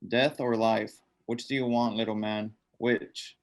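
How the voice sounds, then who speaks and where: A man's voice: lowish voice, little pitch movement, measured pace. An actor playing a sinister undead old man in a radio drama, coaxing and menacing with insistent, repeated commands.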